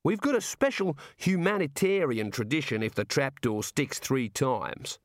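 A man's voice: mid-range voice, sing-song, unhurried, no echo, clean studio sound, pitched above the performer's own voice. A man voicing a cheerful, chatty prison warder with an Australian accent and a breezy, matey manner, in a storybook reading. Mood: helpful, chatty. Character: cheerful chatty male prison warder, jovially morbid, folksy.

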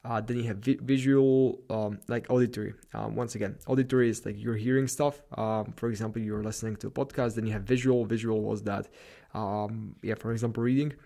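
A man's voice: fairly low pitch, steady tone, fairly quick, creaky, mellow. A man with a Slavic accent hosting a solo podcast, giving a loose, rambling pep talk late at night in his apartment. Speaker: podcast host. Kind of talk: solo motivational podcast monologue.

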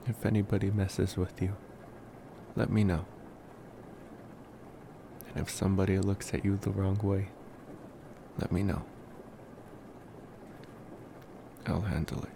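A man playing a devoted, protective young college vampire in an intimate ASMR roleplay, speaking softly with musing pauses. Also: gravelly. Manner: soft, unhurried, musing with long pauses. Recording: noisy, steady background rumble.

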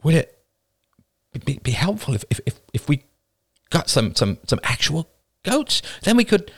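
A man's voice: fairly high pitch, very animated, measured pace, husky, pitched above the performer's own voice, heavily acted. A man voicing a hesitant, timidly hopeful woman who stammers, in an audiobook story. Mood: tentative. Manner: stammering, tentative.

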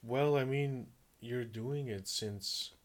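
A man's voice: medium pitch, slow. Laid-back man, casual swearing. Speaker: podcast co-host. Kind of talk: casual conversation.

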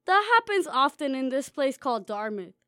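Very high-voiced young woman reading her essay. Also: sing-song, normal speed, fairly bright tone, no echo, studio quality. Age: young adult. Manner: wry, dreamy, playfully self-mocking. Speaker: student author reading her own essay. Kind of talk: reading a personal essay aloud.

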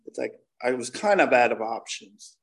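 A man's voice: medium pitch, expressive, normal speed, vocal fry. An American man in his forties speaks to a recovery meeting in a mid-range voice. He is candid and conversational, using casual slang as he gives an informal account of himself. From Connecticut, United States. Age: 40s. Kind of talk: informal personal testimony.

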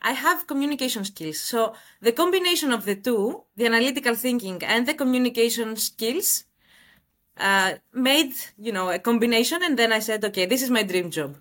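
A woman's voice: on the high side, expressive, a little fast, a bit bright. A woman in her late twenties from Greece with a Greek accent, answering conversationally as an interview guest. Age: late 20s.

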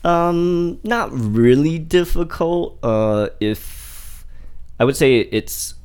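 A man's voice: a little high, animated, very slow, a little mellow, clear, resonant tone. A man in his forties from Indiana, talking casually as a guest, rambling with many fillers and restarts. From Indiana, USA. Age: 40s. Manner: rambling, heavy use of "like" and restarts. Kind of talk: casual conversational interview.

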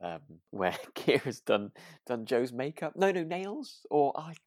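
A British man chats informally as a podcast co-host, his pitch moving animatedly around a middle register. He muses hesitantly and corrects himself, and the sound is close and dry. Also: big pitch swings, a little slow, no echo. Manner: hesitant, musing, self-correcting. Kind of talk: relaxed casual chat about a radio drama.

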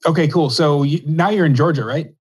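A man's voice: fairly high pitch, a little fast, breathy edge. A man hosting an interview podcast, laid-back and joking with his guest. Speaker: podcast host. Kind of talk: casual interview chat.

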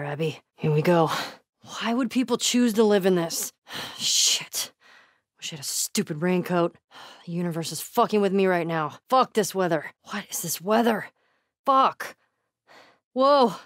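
A woman's voice: fairly low pitch, animated, measured pace, no room sound, clean studio sound. A young woman playing a tough, foul-mouthed, wry survivor in a video game, muttering in terse, conversational asides. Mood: determined, then frustrated. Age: young adult. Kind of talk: video game voice acting, naturalistic.

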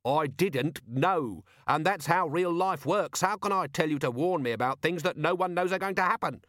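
A man's voice: on the high side, normal speed, fairly bright tone, dry recording, studio quality, voice pushed up, close to an everyday voice. A man voicing a weary, irritable police commander with an exasperated, sardonic manner in a storybook reading.

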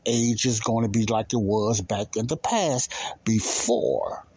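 A man's voice: fairly low pitch, takes it slowly, a little mellow, slightly rough. A man teaching the Bible in an informal sermon, emphatic and repetitive, often asking questions and answering them himself.

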